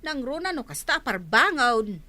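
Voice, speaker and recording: An actress playing an admonishing village councillor with a Filipino Ilocano accent in an audio drama. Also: female voice, high voice, very animated, moderate pace, bright, forceful tone, heavily acted. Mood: scolding.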